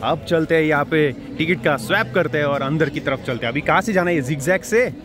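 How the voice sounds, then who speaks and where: A young man vlogging with a handheld camera at an outdoor cricket stadium, chatting excitedly to his viewers. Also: a little high, brisk, music in the background. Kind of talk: casual walking vlog. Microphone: handheld action camera. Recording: noisy outdoor crowd ambience.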